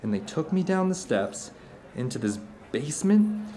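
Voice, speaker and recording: A man's voice: fairly high pitch, sing-song, normal speed, warm tone, a little hiss or hum. An actor playing an earnest, fervent middle-aged man who tells rambling stories of strange experiences in an audio drama. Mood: hushed, suspenseful. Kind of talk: audio drama dinner-table monologue.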